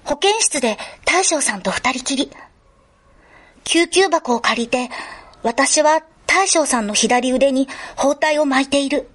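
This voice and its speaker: Woman playing an earnest, high-voiced schoolgirl heroine. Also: measured pace. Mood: anxious, narrating. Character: earnest, kind schoolgirl heroine narrating her own story. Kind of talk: radio drama acting and narration. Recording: music behind the voice.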